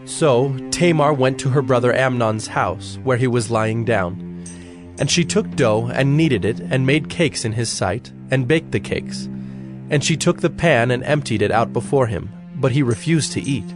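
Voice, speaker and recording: Mid-pitched male Bible narrator over music. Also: normal speed. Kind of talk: dramatic scripted Bible narration.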